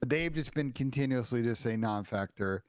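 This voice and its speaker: A man's voice: mid-range voice, moderate pace, no room sound. Opinionated young man, dry close sound. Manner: opinionated, hedging with "I think". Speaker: esports analyst co-host. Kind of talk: casual esports chat.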